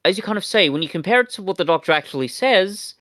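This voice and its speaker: Male podcast co-host, dry close sound, informal review talk, reflective, halting phrasing. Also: high-pitched, expressive, sharp tone, no room sound. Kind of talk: casual review chat.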